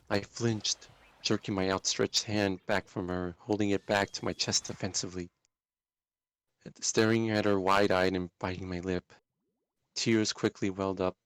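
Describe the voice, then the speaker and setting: A man with a fairly low voice reads a dramatic first-person story. His narration is deliberate and intense, carrying plenty of emotion. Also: no echo.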